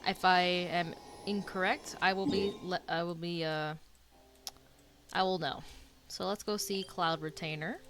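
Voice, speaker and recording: A woman's voice: on the low side, steady tone, unhurried, music in the background. A woman streaming a video game, giving casual reaction commentary as the story plays.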